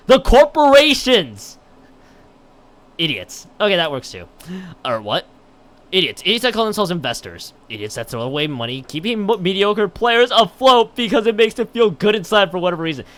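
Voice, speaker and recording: A man's voice: high-pitched, fairly bright tone. A man livestreaming, chatting and reading a visual novel aloud, often stumbling over and rereading his lines. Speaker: live streamer.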